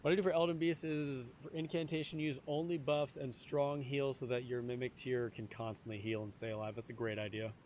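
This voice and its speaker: A young man streaming a game, chatting casually with his viewers, his voice a little hoarse. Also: medium pitch, flat delivery, brisk, few pauses, a little mellow, slight background noise. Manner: chatty, answers chat, repeats phrases. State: slightly hoarse. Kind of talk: casual live-stream chat while gaming.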